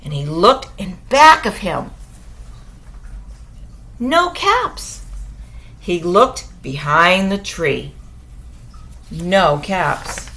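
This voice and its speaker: A woman reading a bedtime story aloud to young children as a storyteller, expressive, with dramatic pauses and questions to her listeners. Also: fairly low pitch.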